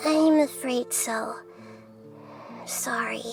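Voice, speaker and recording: A woman voicing a small childlike goddess in a video game, gentle, thoughtful and grave, choosing words with care. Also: high voice, creaky, exaggerated voice. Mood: regretful, gentle. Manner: measured, careful, softly serious. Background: game music.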